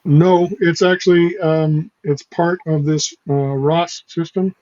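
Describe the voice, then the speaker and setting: A man in his fifties explaining technical points in a relaxed, measured way. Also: a little high, lo-fi sound. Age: 50s.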